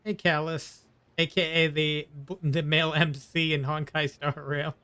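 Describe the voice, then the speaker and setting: A man's voice: a little high, flat delivery, measured pace, bright, forceful tone, clear, resonant tone. A man giving casual playthrough commentary on a video game, in dry, offhand asides. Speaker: gaming video creator.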